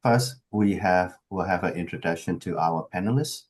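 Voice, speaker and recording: Deep-voiced moderator on a video call. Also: male voice, normal speed, a little mellow, breathy edge. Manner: brief and procedural. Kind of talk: moderating an online panel.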